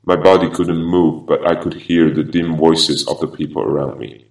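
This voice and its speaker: A man voicing a vengeful half-machine former attorney in a grave, deliberate, ominous horror game monologue. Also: extremely low pitch, fairly even intonation, gravelly, a little mellow. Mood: bitter, brooding. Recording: echoey, distant-sounding voice.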